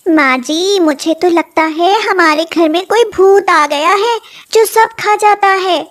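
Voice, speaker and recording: A very high, sweetly wheedling young daughter-in-law acted by a woman storyteller. She is sly and food-loving, and puts on wide-eyed innocence in an unhurried storybook delivery. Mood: feigned innocence. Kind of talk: animated moral story acting.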